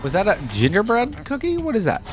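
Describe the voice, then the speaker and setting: A man's voice: high-pitched, wildly swooping pitch, a little fast. A man giving casual reaction commentary as a video creator looking over game content.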